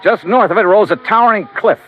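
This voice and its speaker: An actor playing a wry, unflappable insurance investigator in an old American radio drama, talking in a crisp, clipped way. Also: male voice, high-pitched, fast, faint music behind, close to an everyday voice. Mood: matter-of-fact. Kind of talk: 1950s American radio drama, first-person narration and dialogue. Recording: lo-fi vintage radio recording.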